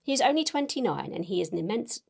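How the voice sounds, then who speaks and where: Woman playing a gushing young Victorian lady, creaky voice. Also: mid-range voice, big pitch swings, fast talker, pitched above the performer's own voice, exaggerated voice. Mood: excited, gushing. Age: young adult. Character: excited young Victorian lady, gushing and sentimental letter-writer. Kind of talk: dramatic reading of a letter.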